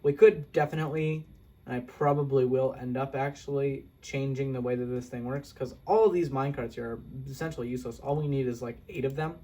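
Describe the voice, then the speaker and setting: A man's voice: medium pitch, steady tone, fairly quick, warm tone. A young man casually walking through a game build, rambling and correcting himself with self-deprecating asides. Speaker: Minecraft video creator. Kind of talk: casual gameplay walkthrough.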